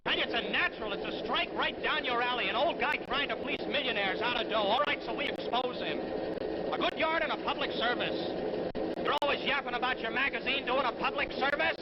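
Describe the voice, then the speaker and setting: An actor playing a pushy, wheedling magazine writer in slangy, insistent 1950s television drama style. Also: male voice, very high voice, fairly quick. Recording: very noisy, muffled old television soundtrack.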